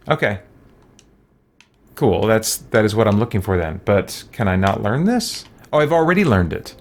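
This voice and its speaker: A man hosting a let's play, thinking aloud casually and playfully as he works through a game. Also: fairly low pitch.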